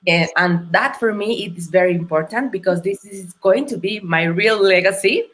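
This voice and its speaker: A woman from Mexico with a Mexican accent, a non-native English speaker, telling her story as an interview guest in an earnest, thoughtful and halting way. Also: medium pitch. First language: Spanish. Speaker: guest entrepreneur.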